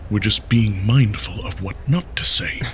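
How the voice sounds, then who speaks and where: A man's voice: lowish voice, moderate pace, a little hiss or hum, natural voice. An actor playing a proud, guarded male paladin leader who speaks tersely. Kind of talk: audio drama acting. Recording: music bed underneath.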